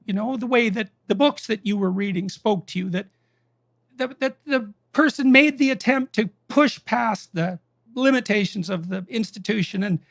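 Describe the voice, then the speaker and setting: A man with a high, gravelly voice recounts a conversation in emphatic, impassioned storytelling. His voice is dry and close, with no room sound around it. He presses his points hard and grows indignant. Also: a bit bright, dry recording.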